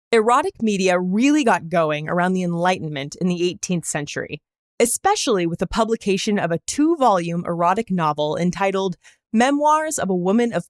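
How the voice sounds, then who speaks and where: A woman's voice: on the low side, no echo, studio quality. A woman hosting a podcast, reading scripted narration in a wry, conversational way with asides. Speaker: podcast host.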